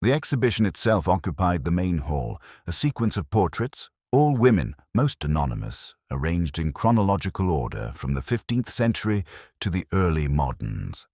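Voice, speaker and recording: A very low-voiced, erudite older detective, played by a man, recounts a case in slow literary prose. His delivery is reflective and wry, with lively melodic movement in the pitch. The sound is close and dry, suited to melancholy radio mystery. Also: dry recording, exaggerated voice. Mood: brooding, reflective. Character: melancholy, erudite older male English police inspector, reminiscing. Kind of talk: slow literary first-person detective narration.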